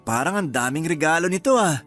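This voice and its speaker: A man's voice: fairly high pitch, expressive, fairly quick, a bit bright, faint music behind. A man with a Filipino accent playing an agreeable, affectionate young husband in a radio-style drama.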